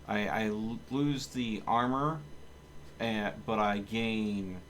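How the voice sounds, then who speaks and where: A man with a fairly low voice streams a video game live. He thinks out loud in a casual way and chats to his viewers as he goes. There is clear noise in the recording behind him. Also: takes it slowly. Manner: thinks aloud, talking to viewers.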